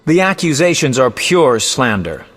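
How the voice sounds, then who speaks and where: A man's voice: mid-range voice, very animated, measured pace, a bit bright, in a large hall, faint music behind. A man playing a vain, roguish poet and bard in a video game, speaking in a theatrical, florid, self-important way. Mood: indignant, pleading. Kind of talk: video game voice acting, storytelling.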